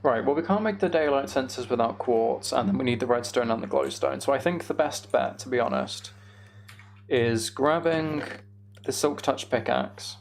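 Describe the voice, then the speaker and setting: Gravelly man chatting over a game. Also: mid-range voice, moderate pace, slight background noise. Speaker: game streamer hosting a live chat stream. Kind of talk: casual gaming commentary and chat.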